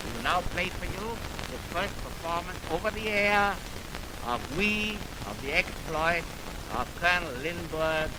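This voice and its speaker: A crackling, hiss-laden 1929 radio recording carries a man with a fairly high voice. He speaks formally and declaims in deliberate phrases, like a lecturer introducing an orchestral piece to listeners. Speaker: radio music presenter. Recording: noisy, crackling 1929 radio aircheck on film. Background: steady hiss and crackle of old recording.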